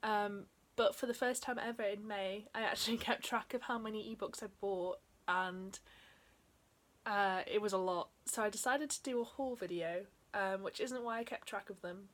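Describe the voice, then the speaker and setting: Slightly croaky young woman chatting about books. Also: mid-range voice, steady tone, moderate pace. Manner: chatty, lots of ums, trailing off softly. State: slightly croaky from a chest infection. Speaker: book vlogger. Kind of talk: casual book haul chat. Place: small bedroom in front of bookshelves.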